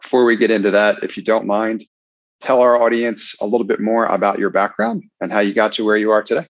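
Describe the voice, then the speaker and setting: A man's voice: lowish voice, little pitch movement, a little fast, no room sound. A man hosting a podcast interview, friendly and upbeat as he welcomes and questions his guest. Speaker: podcast host. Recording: dull, dry lo-fi sound.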